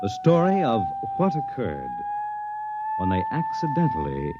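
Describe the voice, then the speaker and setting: An American man announcing a 1950s radio show in a deliberate, dramatic scripted style. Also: fairly high pitch, huge pitch swings. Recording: muffled old radio recording over music. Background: music.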